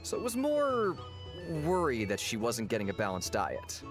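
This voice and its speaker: A man voicing an affable, theatrical tavern owner in a video game, with a playful, jovial and expressive manner. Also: medium pitch, normal speed. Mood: sheepish, explaining. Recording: music behind the voice.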